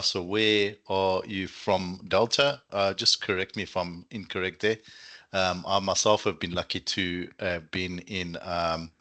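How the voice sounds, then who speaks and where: A man with a South African accent speaks in a low, bright voice. He presents to an online audience informally, with an enthusiastic and chatty manner. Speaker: student recruitment manager presenting.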